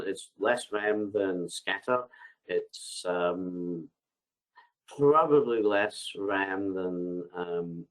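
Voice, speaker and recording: A man with a bad cold and a congested voice, answering webinar questions in a relaxed, hesitant way, reading each question aloud before replying, over a headset on a video call. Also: low-pitched, low-quality recording. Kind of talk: live webinar Q&A, unscripted.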